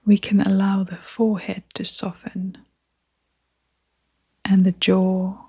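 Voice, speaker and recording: Woman, meditation guide, extremely unhurried, soft breathy half-whisper, mid-pitched, gentle, soothing, long pauses. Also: monotone.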